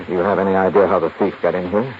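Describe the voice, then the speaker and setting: An actor playing a wry, patient insurance investigator who questions and narrates politely in a 1950s radio detective drama. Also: male voice, low voice, fairly even intonation, racing, natural voice. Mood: probing. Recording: dull, noisy 1950s radio recording.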